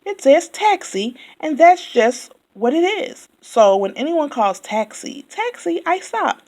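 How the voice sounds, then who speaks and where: A woman voicing a chatty male yak taxi driver who never stops talking, loud and indignant. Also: sits high, big pitch swings, slow, pitched above the performer's own voice, heavily acted. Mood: chattering.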